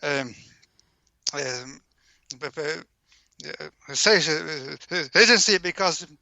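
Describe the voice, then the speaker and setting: A man explaining as an interview guest, halting and repeating words over and over as he searches for the next one. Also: on the high side, expressive, bright, forceful tone, no room sound. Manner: halting, heavily stammered repetitions of words. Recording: dull, lo-fi call-in audio.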